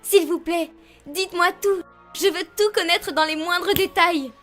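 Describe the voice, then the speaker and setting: A woman voicing a young female pony in an animated cartoon dub, an earnest and eager admirer who encourages and gushes. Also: very high-pitched, measured pace, soft background music. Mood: eager, admiring.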